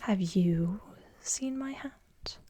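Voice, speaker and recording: A woman voicing a glum bear searching for his lost hat, whispered softly as in a storybook reading. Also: medium pitch, extremely slow, slight background noise. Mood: forlorn.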